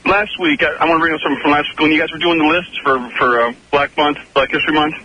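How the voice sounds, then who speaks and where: Fast, bright male caller on dull phone line. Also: a little high, fairly even intonation. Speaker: radio show caller. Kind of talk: casual phone-in talk. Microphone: telephone. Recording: dull, hissy telephone line.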